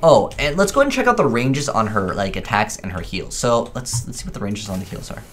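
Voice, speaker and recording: A man with a fairly low voice talks casually through gameplay, working things out aloud as he goes. He hesitates and questions himself often, with noticeable noise behind him. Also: very animated, quick pace. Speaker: gaming video creator. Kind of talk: casual off-the-cuff gameplay commentary.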